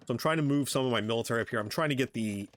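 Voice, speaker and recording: A man giving casual, halting commentary on his own strategy game match, thinking aloud as he plays. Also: mid-range voice. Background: background music.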